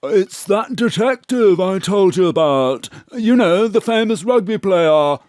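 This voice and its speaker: A man's voice: sits high, lively intonation, unhurried, darkish tone, dry recording, clean studio sound. An actor playing a flustered, indignant con man in an audio drama. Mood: alarmed, indignant.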